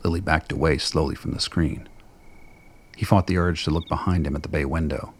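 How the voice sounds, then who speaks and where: A man with a gravelly, husky voice narrating a scripted dramatic story. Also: rumbling low, fairly even intonation, a little fast.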